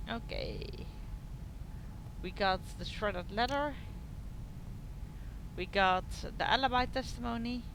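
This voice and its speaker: A woman's voice: mid-range voice, noisy background. A woman from the Netherlands with a Dutch accent, chatting casually as she streams a game and answers her viewers. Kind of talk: casual livestream playthrough commentary. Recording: loud game music behind. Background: loud game music.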